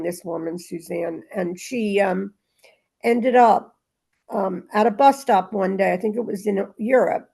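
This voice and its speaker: A woman's voice: medium pitch, vocal fry, warm tone. A woman talking casually and reading aloud in a spiritual discussion group, in a rambling, emphatic way that circles back on itself.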